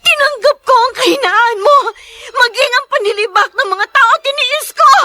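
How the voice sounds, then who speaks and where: Heartbroken young woman in a radio drama, sobbing and shouting, very high piercing voice, dry close sound. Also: moderate pace, no room sound. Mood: anguished. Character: anguished young woman pleading with her lover.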